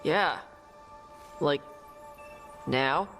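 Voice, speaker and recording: Low, slow, awkward dragon slayer. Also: female voice, full character voice. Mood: awkward, shy. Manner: halting, flat, rough around the edges. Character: awkward, gruff, blunt dragon slayer with shy crush. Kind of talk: video game voice acting. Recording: game audio, long reverb, faint music.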